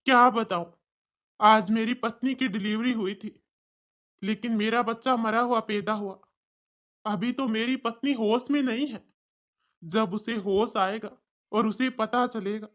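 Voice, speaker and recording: A woman plays a kind, gentle man in a cartoon tale. He speaks with earnest pleading, in a voice dropped well below the narrator's. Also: on the high side, slow pace, pauses often, voice pushed down. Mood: grieving. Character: kind, gentle man longing to adopt a baby daughter. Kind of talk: cartoon story dialogue.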